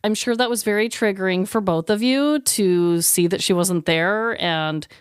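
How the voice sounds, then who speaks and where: Female podcast co-host, thirties: smooth clear voice, mid pitch, chatty, exclamatory, unfiltered language. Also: moderate pace, no echo, studio quality. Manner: exclamatory, casually profane. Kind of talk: casual chat.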